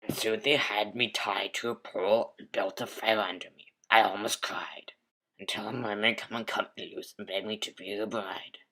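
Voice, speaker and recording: A man recites comic storytelling verse. His voice is husky and full of breath, with a sharp, pressing brightness. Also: on the low side, fairly even intonation, normal speed, rough recording.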